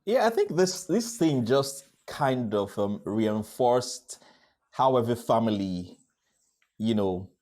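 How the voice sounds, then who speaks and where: A man talks as a guest actor. He is animated and playful, with a very lively range of pitch and humorous asides in a casual conversational style. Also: takes it slowly.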